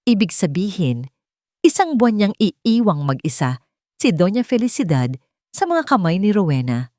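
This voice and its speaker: A woman's voice: mid-range voice, very animated, normal speed, mellow, no room sound, clean studio sound. A woman with a Filipino accent telling a scripted story as a dramatic narrator.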